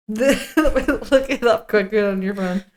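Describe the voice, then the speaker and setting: A woman's voice: medium pitch, drawn-out words, slight background noise. A woman co-hosting a podcast, casually reading through a script, goofy and giggly, tripping over words and commenting as she goes. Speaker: podcast co-host. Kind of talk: scripted table read mixed with casual banter.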